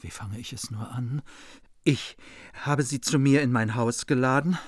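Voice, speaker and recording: Ein Schauspieler spielt in einem deutschen Hörspiel einen edlen, innerlich zerrissenen Ritter, ernst und förmlich. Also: male voice, mid-range voice, measured pace, dry recording, studio quality, natural voice. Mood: anguished. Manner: grave, formal, troubled. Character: anguished noble knight torn between oath and friendship. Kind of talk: German radio play of a medieval epic.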